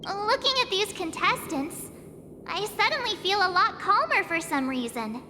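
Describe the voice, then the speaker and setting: A woman's voice: very high voice, expressive, moderate pace, sharp tone, a little hiss or hum, exaggerated voice. A woman voicing a cheeky, upbeat young female swordswoman in a game cutscene, chirpy and self-assured. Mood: relaxed. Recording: reverberant mix with game music.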